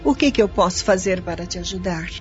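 An actress in a radio drama playing a charitable lady of the house, speaking gently and patiently. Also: fairly low pitch, fairly quick, lightly acted. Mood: concerned. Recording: muffled radio play with music.

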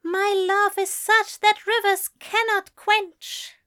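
A gentle male angel speaks in a high, smooth, warm voice, dreamy and adoring, as a woman reads him aloud. He talks slowly and softly, full of fondness. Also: little pitch movement, heavily acted.

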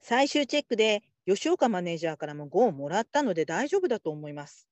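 A woman playing a patient, supportive call-centre supervisor in a radio drama, calm and politely instructive. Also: medium pitch, quick pace, no echo, close to an everyday voice. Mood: composed, firm. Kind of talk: Japanese radio drama acting.

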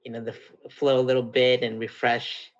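A guest comedian chats calmly in a middle-pitched voice, pausing to find his words. His audio sounds dull and lo-fi. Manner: calm, halting, says "indeed". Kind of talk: casual chat.

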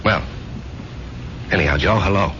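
A deep male voice in a noisy, muffled old radio drama. The actor plays a sincere, self-doubting working man who speaks hesitantly and plainly. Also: moderate pace, lightly acted. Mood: earnest, uneasy. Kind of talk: 1970s radio drama acting.

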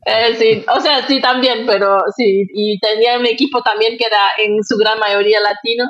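A woman's voice: a little high, fairly even intonation, moderate pace, lo-fi sound, crowd noise. A woman with a Brazilian accent and Portuguese as her first language, answering interview questions conversationally, thinking aloud with frequent tag questions. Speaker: interview guest, nonprofit executive director.

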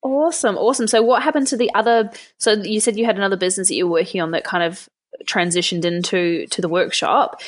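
A woman's voice: mid-range voice, steady tone, quick pace. A woman, hosting a casual, conversational interview. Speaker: interviewer, host.

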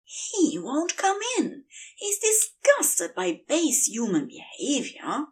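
Prim male angel for audio fiction, played by a woman: high-pitched, bossy, flustered, urgent breathy delivery. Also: huge pitch swings, slow pace, exaggerated voice. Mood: urgent, commanding. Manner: breathy urgent whispering and hissing. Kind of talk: audio fanfiction storytelling.

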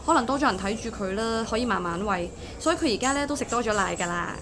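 A woman's voice: on the high side, expressive, fast talker, background noise. An actress playing a devoted, vulnerable young single mother in a Hong Kong Cantonese audio drama, speaking in a heartfelt way. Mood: grateful. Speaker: voice actor. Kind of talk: audio drama acting with first-person narration.

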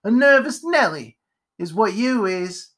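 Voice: medium pitch, very animated, a little slow, fairly bright tone, voice pushed up. Storybook spider: cranky, grumbling scold, raised voice, folksy insults. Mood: irritated. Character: grumbling, scolding, irritable one-eyed old spider.

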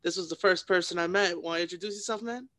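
A man's voice: high voice, fast talker, bright, forceful tone. A young man hosting a casual interview, informal and agreeable, often affirming what his guest says. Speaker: podcast host, interviewer.

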